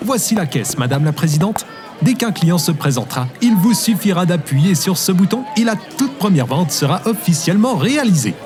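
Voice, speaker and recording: An actor in an audio drama playing a bustling, deferential ceremony announcer with a formal, obliging manner. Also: male voice, high-pitched, sing-song, normal speed, over music. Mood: bustling, welcoming.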